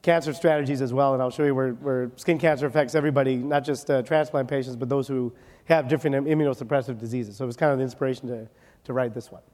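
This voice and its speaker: A man from Wisconsin giving a joking, fast-moving medical lecture at a conference, often checking in with "okay?". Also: mid-range voice, fairly even intonation, darkish tone. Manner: joking, rapid, ends points with "okay?". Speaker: dermatologist lecturer. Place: conference hall. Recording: reverberant conference hall.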